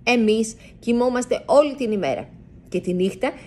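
A woman voicing a kindly female bat who explains things calmly. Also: on the high side, pitched above the performer's own voice, natural voice. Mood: explaining. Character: kindly female bat, calm night creature.

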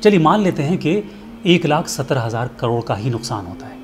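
A man's voice: mid-range voice, very animated, fairly quick, background noise. A middle-aged man, presenting a scripted address to camera in an earnest, persuasive manner rhetorical questions. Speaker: filmmaker and storyteller presenting a commentary segment. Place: indoor studio set. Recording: music bed underneath.

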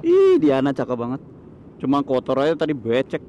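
A man's voice: medium pitch, huge pitch swings, fairly quick, lo-fi sound. A man with a Jakarta Indonesian accent chatting in a casual, slangy, joking way as a motovlog host. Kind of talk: casual riding vlog chat. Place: outdoors on a motorbike in city streets and forecourts. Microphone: lavalier worn inside a motorcycle helmet. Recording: loud traffic and wind noise behind.

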